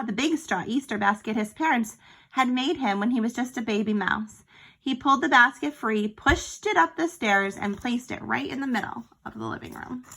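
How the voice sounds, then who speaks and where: A woman's voice: a little high, pushed and bright, low-quality recording. A woman reading a picture book aloud to young children like a teacher, expressively and with small explanatory asides.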